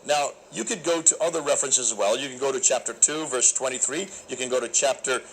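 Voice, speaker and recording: A man recounting a debate in an animated, emphatic, preacherly way, with a naturally loud voice. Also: medium pitch, fast, slight background noise, poor audio. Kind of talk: storytelling talk.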